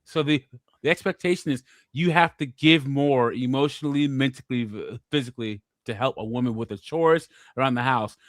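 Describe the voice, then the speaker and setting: A man's voice: mid-range voice, brisk, dry recording. A man co-hosting a call-in show, giving opinions with frequent stammered restarts. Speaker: podcast co-host. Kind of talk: casual call-in chat.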